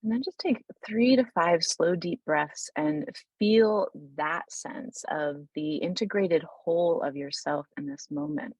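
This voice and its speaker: A woman leading an unscripted guided meditation in a soothing, unhurried, informal way, pausing mid-thought as she goes. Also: sits low, a little mellow, no room sound, poor audio.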